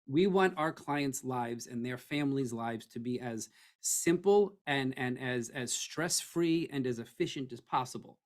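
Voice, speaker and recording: A middle-aged man with a hoarse, froggy voice, presenting an estate planning webinar in a conversational way that keeps checking in with "okay?". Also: mid-range voice, measured pace. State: hoarse, losing his voice.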